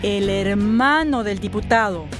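An actress playing a troubled, probing professional woman in charge, with expressive, earnest delivery in a radio drama. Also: medium pitch, measured pace, music in the background, close to an everyday voice. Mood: suspicious.

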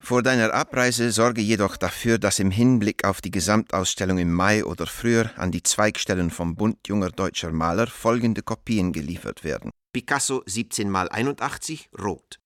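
An actor in a radio drama playing a composed businessman who dictates letters in a measured, deliberate and formally cordial way. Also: lowish voice, a bit bright, no room sound, studio quality.

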